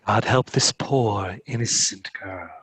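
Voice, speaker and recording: A man playing a pious, quietly menacing medieval inquisitor in a dramatic script read, measured in manner. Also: lowish voice, husky, heavily acted, rough recording. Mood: pious, pitying.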